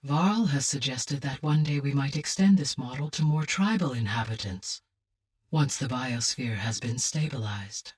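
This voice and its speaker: Casting: a calm female video game AI, low and breathy-husky, measured, exact and formal, heard slightly off-mic. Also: distant mic, full character voice. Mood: calm, analytical. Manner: measured, formal, precise diction. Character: calm, analytical female artificial intelligence. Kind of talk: scripted video game dialogue.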